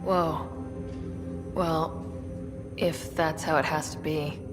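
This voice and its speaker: A woman's voice: low-pitched, flat delivery, slow pace. A young woman playing an earnest, bereaved space explorer in a video game, hesitant and subdued. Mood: uncertain. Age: young adult. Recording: long echoing reverb, faint music.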